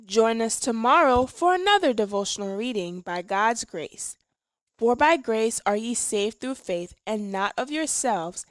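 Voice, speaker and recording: A woman reading a scripted devotional with deliberate, careful phrasing. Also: a little high, expressive, no room sound. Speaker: devotional reader. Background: background music.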